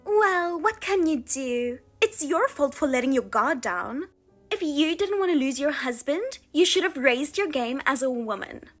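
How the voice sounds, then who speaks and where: A woman plays a husband-stealing younger sister in a voiced drama, smug and taunting, her high voice leaping all over as she drags out her words. Music plays underneath her. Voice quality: high and sing-song, taunting. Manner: mocking, self-satisfied, drawn-out teasing.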